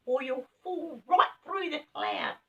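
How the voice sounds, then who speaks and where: Woman voicing a high-pitched, excitable little storybook rabbit. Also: slow, full character voice. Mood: urgent. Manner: urgent, exclaiming. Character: small helpful rabbit, excitable and anxious. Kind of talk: children's storybook character voice. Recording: dull, distant home recording.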